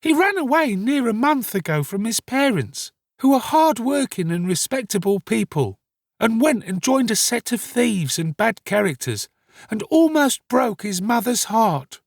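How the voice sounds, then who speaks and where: Man putting on a shrill, melodramatic young woman. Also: very animated, measured pace, dry recording, studio quality, heavily acted. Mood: tearful, scolding. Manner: wailing, melodramatic exclamations. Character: shrill hysterical young woman feigning distress, scheming.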